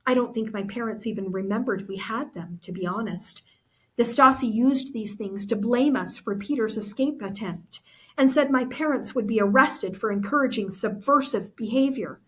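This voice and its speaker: Storybook girl played by a woman reader: high, husky, anxious, earnest and pleading. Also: a bit bright.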